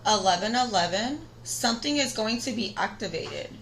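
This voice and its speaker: A woman in her thirties with a raspy, tired voice, chatting casually to viewers as she reads tarot cards. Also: mid-range voice, animated, moderate pace, a bit bright, slight background noise, rough recording. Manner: chatty, talks straight to viewers. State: tired voice from singing. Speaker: tarot reader. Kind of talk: casual spiritual reading.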